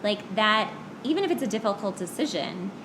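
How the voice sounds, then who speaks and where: A woman's voice: medium pitch, animated, a little fast. A woman hosting a podcast in a casual chat, talking candidly and poking fun at herself. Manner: candid, self-deprecating. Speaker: podcast host. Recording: clear background noise.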